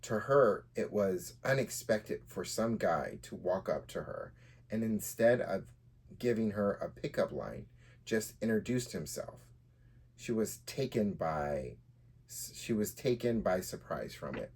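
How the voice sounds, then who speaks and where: A man with a fairly low voice hosts a story podcast. He talks casually as a writer presenting his own work, somewhere between chatting and reading aloud. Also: lively intonation, a little slow, a little mellow, breathy edge.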